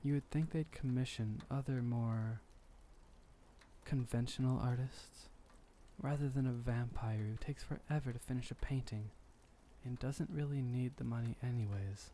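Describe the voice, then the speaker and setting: Man as ancient male vampire painter, mellow creaky voice, unhurried, tender and wistful, formal wording, intimate ASMR roleplay. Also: mid-range voice, fairly even intonation, slight background noise, natural voice. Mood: pensive, mildly weary. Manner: soft-spoken, unhurried, formal old-fashioned phrasing, musing. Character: gentle, melancholy, centuries-old male vampire painter, devoted lover.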